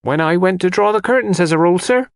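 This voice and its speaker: A man's voice: high-pitched, fast talker, smooth, no echo, clean studio sound, voice pushed up. A man voicing a young housemaid, a deferential, eager and easily flustered servant in an audiobook mystery.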